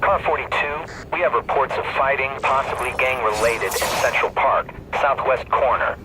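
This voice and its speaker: A businesslike police dispatcher from a video game , acted by a man. His mid-pitched voice is procedural and matter-of-fact. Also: normal speed, lightly acted, low-quality recording.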